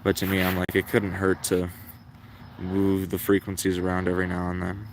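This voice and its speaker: A man casually thinking out loud as he hosts a hobby livestream, in a low-key, rambling, unhurried way. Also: completely flat delivery, a little hiss or hum. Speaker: livestream host, radio hobbyist. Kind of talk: casual livestream commentary, musing aloud.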